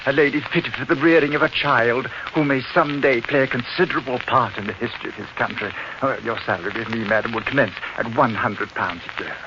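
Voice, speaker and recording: Casting: male actor as effusive, wheedling middle-aged squire, old radio drama, muffled and clearly noisy. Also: medium pitch, fairly quick, few pauses, lightly acted. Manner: effusive, wheedling, with stammered repetitions. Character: stout, jovial, oily middle-aged English country gentleman. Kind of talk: 1940s radio acting.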